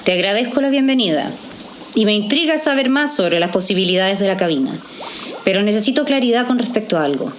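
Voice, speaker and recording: A woman's voice: mid-range voice, lively intonation, slight background noise, close to an everyday voice. A woman playing a guarded, direct, caring professional in an audio drama. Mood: firm, sceptical. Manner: direct, measured.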